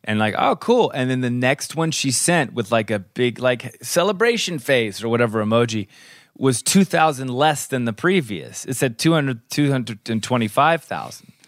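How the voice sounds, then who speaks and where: A man's voice: medium pitch, expressive, normal speed, no echo, studio quality. A man co-hosting a podcast in casual chat, teasing and playful, laughing often. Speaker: podcast co-host.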